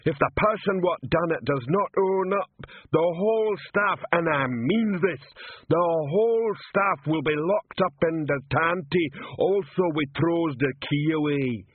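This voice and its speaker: A man voicing a dim, bossy troll sergeant who shouts bluntly at everyone. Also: fairly high pitch, unhurried, breathy edge, no room sound, voice pushed up. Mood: bellowing. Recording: phone-like narrow band, dry.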